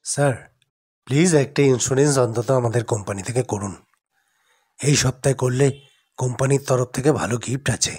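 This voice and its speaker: A man's voice: medium pitch, a little fast, pauses often, a little mellow, slightly rough, pitched below the performer's own voice, natural voice. A male narrator voicing a matter-of-fact, sceptical detective's assistant in an audio story. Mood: polite.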